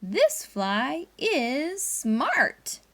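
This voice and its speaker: A woman reading a firm storybook father with emphatic, drawn-out delivery. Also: sits high, huge pitch swings, fairly bright tone, pitched above the performer's own voice, exaggerated voice. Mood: conceding. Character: boy's father, firm and dismissive about flies.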